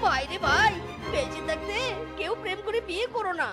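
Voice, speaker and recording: A woman voicing a boastful, lovestruck male fox husband with a quick temper in a children's cartoon, exclamatory and melodramatic. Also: very high voice, lively intonation. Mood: miserable. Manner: exclamatory, melodramatic, pleading and scolding. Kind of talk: children's cartoon story dubbing. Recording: loud children's music behind voice.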